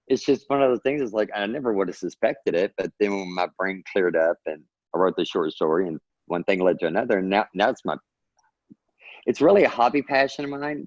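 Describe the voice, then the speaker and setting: A low-voiced man with a drawl talks earnestly in a rambling, encouraging way. His voice comes over a dull, lo-fi video call and sounds dry and close. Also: darkish tone, dry recording. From Texas. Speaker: guest author. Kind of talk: casual interview talk.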